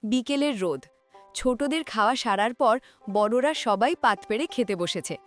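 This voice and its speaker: A woman's voice: fairly high pitch, lively intonation, moderate pace, music in the background. A woman narrating a cartoon story from a script.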